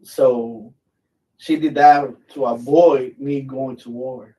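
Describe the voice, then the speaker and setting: A man's voice: medium pitch, fairly even intonation, slow pace, warm tone, distant mic, poor audio. A middle-aged man from Mexico, a military veteran, telling stories in a blunt, easygoing way and swearing freely. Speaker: military veteran guest, channel host. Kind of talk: casual live chat.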